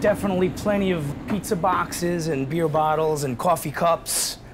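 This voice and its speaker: A man's voice: fairly high pitch, normal speed, background noise. A man who cleans trains, chatting plainly about his work inside a rail car. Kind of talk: casual talk on the job.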